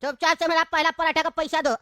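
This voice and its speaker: A woman voicing a sly, scheming male street food seller who hawks loudly and turns menacing. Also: extremely high pitch, fairly even intonation, fast, loud music behind the voice. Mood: hawking. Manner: loud hawking, menacing when crossed. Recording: noisy recording with clicks.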